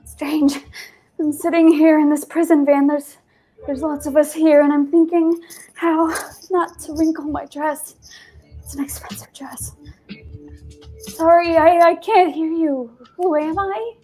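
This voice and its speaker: A woman's voice: sits high, steady tone, mellow, soft background music. A woman playing a dazed young detainee. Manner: shaky, halting.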